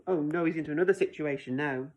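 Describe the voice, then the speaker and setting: A woman's voice: low-pitched, fast, a little mellow. A woman reading a picture book aloud expressively, like a teacher, with dramatic delivery and direct asides to young listeners. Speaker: children's story reader, teacher.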